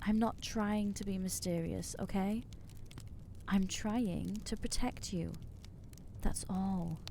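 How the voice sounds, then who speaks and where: A jittery young vampire woman, acted out by a woman in an ASMR audio drama, stammering through awkward, self-mocking rambles. Her voice is soft and mellow, and she takes her time with every halting phrase. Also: mid-range voice, a little hiss or hum.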